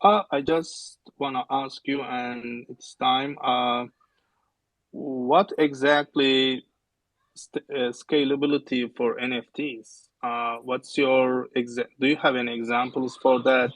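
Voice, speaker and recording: A man haltingly and hesitantly asking a question as an audience member in an online audio room. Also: medium pitch, level tone, fairly bright tone, no room sound, low-quality recording. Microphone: live online audio room.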